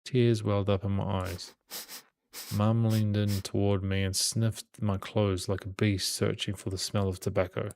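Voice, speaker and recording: Low, gravelly man narrating evenly. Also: little pitch movement, a little slow, a little mellow. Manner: reads aloud with small stumbles. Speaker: gaming channel host reading the game aloud. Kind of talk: dramatic narration of visual novel text. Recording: music behind the voice. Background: game music.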